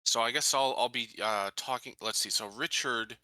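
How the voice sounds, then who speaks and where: A man playing a tabletop roleplaying game, improvising his character's words in a halting, tentative way. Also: mid-range voice, bright, forceful tone, no room sound.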